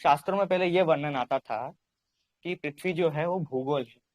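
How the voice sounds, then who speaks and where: A man's voice: fairly high pitch, moderate pace, no room sound. An Indian man arguing and explaining in a religious debate on a call, insistently repeating phrases to take the floor.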